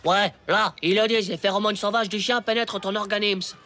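An actor playing a brash, boastful ten-year-old schoolboy in a French cartoon, with slangy, excitable delivery. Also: mid-range voice, fairly quick, a little hiss or hum, natural voice. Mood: eager.

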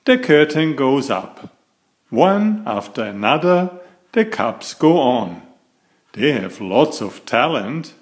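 A man reading a picture book aloud to young children in an expressive, deliberate storytelling manner. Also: medium pitch. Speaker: picture-book reader and author of children's stories.

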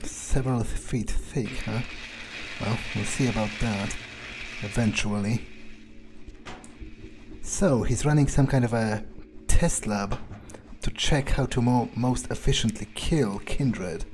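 A man's voice: mid-range voice, slow, a little mellow, slight background noise, rough recording. A man giving in-character video game commentary, musing aloud with a defiant manner and casual swearing. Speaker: gaming video creator playing in character. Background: faint game music.

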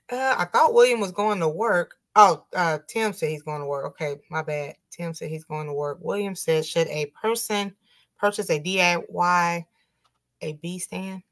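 A woman with a raspy voice hosting a casual livestream over a video call, reading out viewer comments. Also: fairly low pitch, a little slow, a bit bright. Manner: reads viewer comments aloud. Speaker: livestream host. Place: home room.

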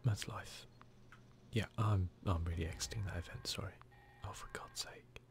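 A man giving soft, near-whispered ASMR commentary as he hosts a gaming video, in a casual, muttering, self-deprecating way. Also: sits low, steady tone, moderate pace, vocal fry.

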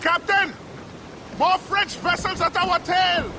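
An alarmed sailor, a loyal first mate shouting at a very high pitch. His calls are drawn out, with clear storm and sea noise around them in a game cutscene. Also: fairly even intonation, takes it slowly, full character voice. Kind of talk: dramatic cinematic game acting.

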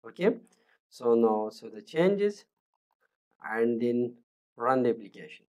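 A man, talking through a screen-recorded coding tutorial in a halting way, with many fillers and restarts as he thinks aloud. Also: medium pitch, lively intonation, a little mellow. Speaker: programming tutor.

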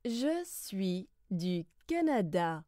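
A woman reading scripted lesson phrases slowly, in a deliberate, carefully enunciated way. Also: medium pitch, big pitch swings, warm tone, no echo, studio quality. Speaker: language lesson reader.

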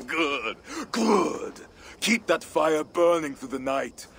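An actor playing a menacing, vengeful Viking warlord in a video game, with gravelly, theatrical oratory. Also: male voice, fairly high pitch, lively intonation, extremely slow, background noise, heavily acted. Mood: mocking, menacing. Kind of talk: dramatic video game cutscene acting.

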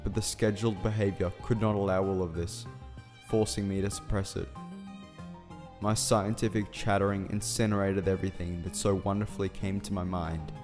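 A man's voice: low-pitched, flat delivery, normal speed, natural voice. A man playing an earnest, awkward scientist in love in a fan radio play, speaking formally and hesitantly. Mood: tender confession. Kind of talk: fan radio drama acting. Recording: reverberant hall sound with music underneath.

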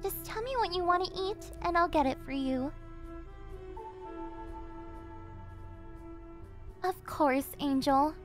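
Very high-voiced, possessive yandere girlfriend. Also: lively intonation, moderate pace, music in the background. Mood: sweetly controlling. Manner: cloying, coaxing, with breathy giggles and gasps. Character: possessive, obsessive young yandere girlfriend, sweetly menacing captor. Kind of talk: ASMR roleplay monologue.

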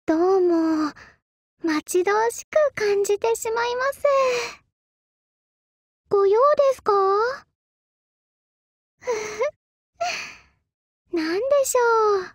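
A woman voicing a polite, patient young sword-spirit maiden in a Japanese mobile game, with soft, formal and devoted delivery. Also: extremely high pitch, drawn-out words, pauses often, no room sound, clean studio sound, exaggerated voice. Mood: gentle, welcoming.

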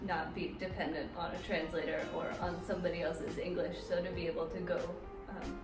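A woman's voice: lowish voice, level tone, a little fast, far from the mic. Woman, twenties, American accent, casual interview guest, echoey tiled room, background music. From United States. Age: 20s. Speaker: interview guest, Muay Thai fighter. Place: small hard tiled room indoors.

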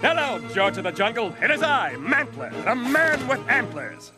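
Swooping, pompous cartoon superhero. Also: male voice, extremely high pitch, very animated, normal speed, music in the background. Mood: heroic. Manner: grandiose, declamatory. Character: pompous antlered comic-book superhero man. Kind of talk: animated cartoon acting.

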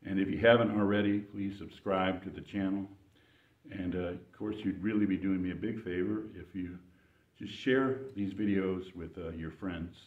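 An older man giving an informal devotional talk to viewers in a folksy, halting, earnest way. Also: low-pitched, steady tone. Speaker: gospel singer and channel host. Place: church sanctuary, indoors. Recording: roomy, distant, dull sound.

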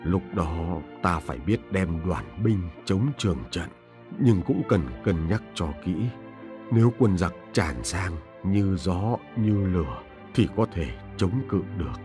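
A wise old grand commander from a cartoon history tale. The voice is very low, solemn and measured, like counsel given to a court, with music clearly behind it. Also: male voice. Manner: grave, measured, instructive.

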